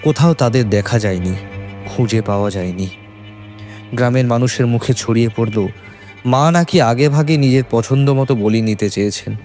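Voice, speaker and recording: A man narrating a scripted horror story in suspenseful, measured phrases. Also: medium pitch, a little mellow. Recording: faint music behind.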